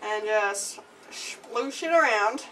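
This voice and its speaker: A woman's voice: medium pitch, takes it slowly, a bit bright, slight background noise, poor audio. A young woman chatting playfully while she cooks in a home kitchen, filmed on a camera nearby. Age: young adult. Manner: playful, giggly. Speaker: daycare worker cooking. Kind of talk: casual chat while cooking. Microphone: camera mic at a short distance.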